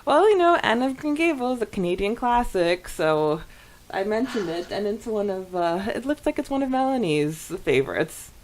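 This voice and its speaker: A woman podcast co-host with a mid-pitched, very animated voice that swings up and down. She chats casually and reacts to listener comments in an expressive, giggly way. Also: normal speed.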